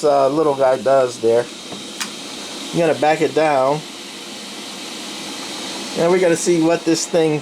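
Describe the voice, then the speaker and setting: Man demonstrating gear over clear background noise. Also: mid-range voice. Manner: plain-spoken, narrating as he works. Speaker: radio hobbyist presenter. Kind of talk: casual hands-on equipment demonstration. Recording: noisy room with steady equipment hum.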